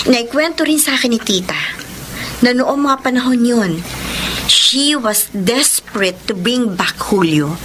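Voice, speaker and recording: A high, lively young office confidante. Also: female voice. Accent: Filipino. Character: confiding, kindly younger female office colleague, boss's niece. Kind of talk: Philippine radio drama acting. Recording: clearly noisy background.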